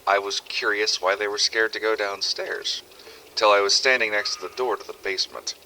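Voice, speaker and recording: A man's voice: fairly low pitch, steady tone, moderate pace, sharp tone, slight background noise, pitched below the performer's own voice, rough recording. A man playing a weary, sarcastic survivor of a zombie outbreak, narrating first-person audio logs in a scripted game-story style. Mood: somber. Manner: sardonic, exasperated, swearing.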